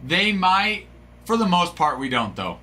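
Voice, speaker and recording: A man's voice: high voice, very animated, measured pace, pushed and bright. Chatty man hosting a card break. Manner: rambling, chatty, talking to chat viewers. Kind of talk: casual live-stream chat.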